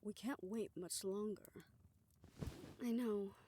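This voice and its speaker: A woman performing a scripted audio roleplay, voicing several characters in a soft, hushed way that often drops to a whisper. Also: medium pitch, fairly even intonation, slow, slight background noise.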